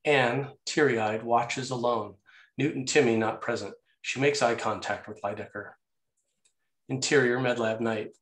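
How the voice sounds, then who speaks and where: A man's voice: mid-range voice, fairly even intonation, measured pace, a bit bright. A man reading scene headings and stage directions as the narrator of a screenplay table read. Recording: roomy, echoey sound.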